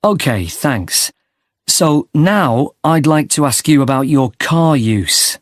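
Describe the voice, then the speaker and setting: A man's voice: on the high side, expressive, slow, dry recording, clean studio sound. Polite male survey interviewer, acted by a man. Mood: attentive. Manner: polite, clear questioning. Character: polite, sympathetic street interviewer doing a transport survey. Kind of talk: scripted everyday dialogue for a listening test.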